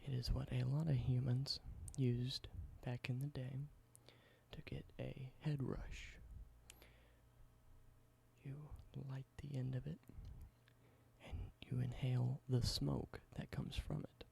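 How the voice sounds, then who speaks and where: A man doing a whispered ASMR roleplay, explaining things slowly and correcting himself now and then. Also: mid-range voice, little pitch movement, gravelly, breathy edge. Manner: soft whisper, slow explanations with small self-corrections. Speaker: ASMR creator.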